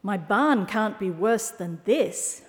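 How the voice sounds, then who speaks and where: Storybook owl, played by a woman: male, grumpy loner, curt grumbling delivery, slow and drawn out, in a long reverb. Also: mid-range voice, animated. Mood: grumpy, indignant. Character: grumpy male owl wanting solitude, indignant.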